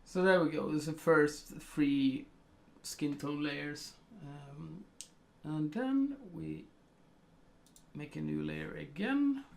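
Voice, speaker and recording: A man from Sweden with a Swedish accent, talking through a live digital painting tutorial in slow, hesitant narration full of fillers. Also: fairly high pitch, vocal fry.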